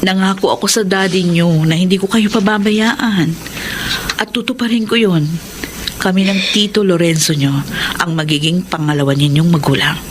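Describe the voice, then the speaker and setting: A woman's voice: lowish voice, fairly even intonation, normal speed, noisy background, close to an everyday voice. A woman playing a caring, devoted wife and aunt in a Tagalog melodrama, tender and emotional in her delivery. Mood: gentle, reassuring. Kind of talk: Tagalog radio-style melodrama acting.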